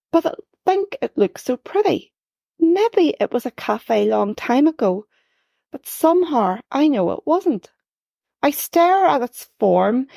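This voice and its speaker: A woman reads her own first-person short story in a measured, gently expressive way. Her voice sits a little high and has a gravelly edge, and the recording is close and dry. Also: no room sound. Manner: measured, gently expressive reading, lifting her voice for quoted dialogue.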